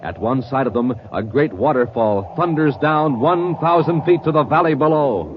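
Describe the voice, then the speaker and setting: A man announcing in scripted 1950s radio style, with urgent, punchy delivery and booming flourishes. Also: lively intonation, moderate pace. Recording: muffled, noisy old radio recording.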